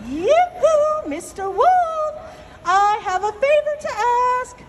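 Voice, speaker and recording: Small male storybook rabbit, played by a woman: very high, whiny, wheedling, meek. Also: sing-song, takes it slowly, very noisy, exaggerated voice. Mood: coaxing. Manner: pleading, complaining.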